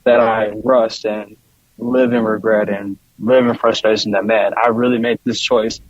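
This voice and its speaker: A young man with a husky, rough-edged voice chats casually as a panel guest. The recording is dry and a little dull. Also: fairly low pitch, level tone, normal speed, no echo. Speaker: roundtable guest. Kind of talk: casual chat. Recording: slightly dull, lo-fi, dry sound.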